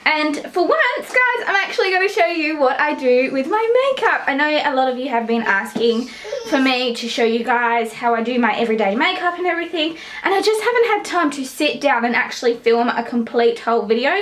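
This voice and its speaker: A young Australian woman with a high voice chats to a camera held at arm's length. She talks casually and directly to her viewers as she moves around her home. A faint hiss of noise sits behind her. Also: sing-song, brisk, a bit bright. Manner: chatty, talking straight to the camera. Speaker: vlogger. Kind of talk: casual vlog chat. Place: home and car, indoors. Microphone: handheld camera at arm's length.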